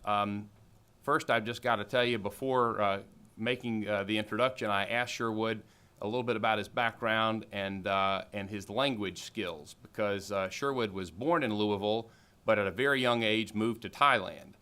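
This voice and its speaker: A man's voice: lowish voice, normal speed, sharp tone, clean tone. A man in his forties at a podium giving a formal introduction of a guest speaker in a large auditorium, reading from notes with frequent fillers. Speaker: alumni board member introducing speaker. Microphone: podium microphone.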